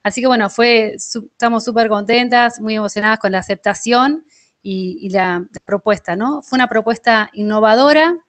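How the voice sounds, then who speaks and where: Argentine woman, thirties, fairly high voice, workshop organiser presenting on a video call from home. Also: normal speed. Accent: Argentine Spanish. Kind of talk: online presentation. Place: indoor kitchen at home. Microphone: earphone mic held near mouth, video call.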